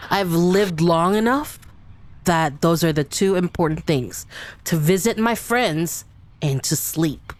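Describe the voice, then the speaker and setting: A woman's voice: fairly low pitch, expressive, takes it slowly. A woman playing an ancient, sleepy giant dragon turtle in a tabletop game, laid-back and matter-of-fact, with some hesitation. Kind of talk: improvised tabletop roleplay.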